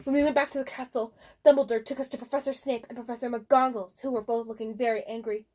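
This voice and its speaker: Giggly high-voiced woman reading theatrically. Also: fairly even intonation, quick pace, a little mellow. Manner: theatrical, giggly, often breaking up. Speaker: reader. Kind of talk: comic dramatic reading of fan fiction.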